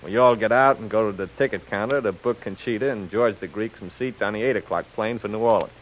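A man's voice: fairly low pitch, fast, natural voice. An actor playing a scheming, cocky gangster henchman with slangy, conspiratorial tough talk in a 1930s radio serial style. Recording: muffled old radio recording.

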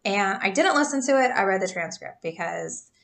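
A woman's voice: mid-range voice, animated, moderate pace, creaky, a bit bright. A woman in her thirties co-hosting a podcast, telling a true crime story in a casual, chatty way, laughing often and stumbling over names.

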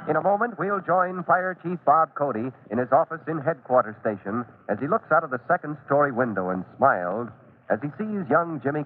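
A man narrating an old-time radio drama in a scripted 1940s announcer style, dramatic and emphatic. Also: medium pitch. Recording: tinny, noisy old radio recording.

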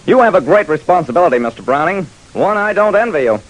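A man playing a formal estate lawyer who speaks in precise, measured phrases in an old-time radio drama. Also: sits high, sing-song, rough recording. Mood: grave, polite. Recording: faintly hissy vintage radio recording.

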